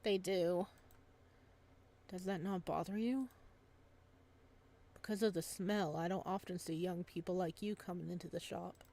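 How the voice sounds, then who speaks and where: Woman acting visual novel fisherman, young man, gruff, shy, terse, soft-spoken. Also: fairly low pitch, fairly even intonation, measured pace, long pauses, voice pushed down. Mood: reserved.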